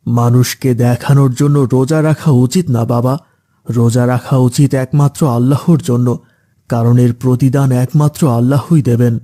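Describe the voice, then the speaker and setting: A man's voice: mid-range voice, fairly even intonation, moderate pace, warm tone, close to an everyday voice. A man voicing a stern, lecturing father figure in a children's cartoon. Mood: stern, admonishing. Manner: lecturing, admonishing. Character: stern preachy father, later gruff scolding gambler uncle. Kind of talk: Islamic children's cartoon dubbing.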